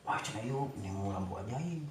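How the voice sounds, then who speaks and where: A man's voice: fairly low pitch, a bit bright, slight background noise. A man with a Sundanese accent telling comic stories in casual chat, full of acted exclamations and repetitions, recorded in an echoey room. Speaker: joke teller. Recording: echoey room with music behind.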